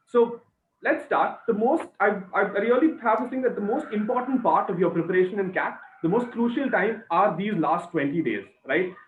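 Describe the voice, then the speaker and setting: A man's voice: sits high, a little hiss or hum. A man in his twenties with an Indian accent, hosting a casual discussion and often tagging his points with questions. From Chennai, India. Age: 20s. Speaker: student panel host. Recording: echoey, thin phone-like video-call sound.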